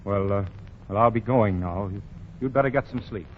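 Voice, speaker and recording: An actor playing an indignant, self-righteous department store boss who lectures emphatically in a 1940s radio comedy. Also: male voice, lowish voice, moderate pace, a little hiss or hum, natural voice. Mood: softened, sympathetic. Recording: dull lo-fi 1940 radio recording.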